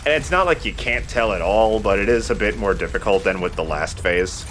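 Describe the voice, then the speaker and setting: A man's voice: fairly low pitch, animated, a little fast, a bit bright, a little hiss or hum. A man giving casual, joking gameplay commentary as host and player, with some swearing. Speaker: let's play host and player. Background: video game sounds.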